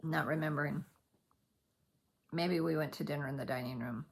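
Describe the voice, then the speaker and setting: A middle-aged woman from Colorado speaks with a Midwestern American accent in a fairly low register. Her voice is husky, with plenty of creak. She chats informally like a hobby vlogger, wandering through her thoughts and doubling back as she goes. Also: steady tone, moderate pace. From Colorado, United States. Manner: rambling, often backtracks mid-thought. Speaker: needlework vlogger. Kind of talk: casual chatty vlog recap.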